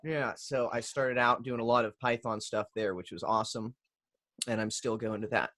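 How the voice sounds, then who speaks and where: A young man from Pennsylvania introducing himself in a relaxed, casual way on a video call. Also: mid-range voice, normal speed. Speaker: coding program participant. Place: indoor bedroom. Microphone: studio mic with headphones.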